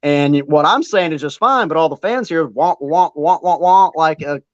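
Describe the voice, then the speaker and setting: A man's voice: a little high, moderate pace, no echo. Man, wrestling ring announcer, rambling with fillers, dry, dull sound. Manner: rambling, self-deprecating, many fillers. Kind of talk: casual interview chat.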